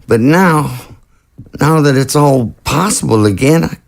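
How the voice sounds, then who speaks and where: An actor in an audio drama playing a troubled undead president who is an anxious, tender father, speaking in a halting, earnest way. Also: mid-range voice, expressive, creaky. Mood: anguished, hesitant.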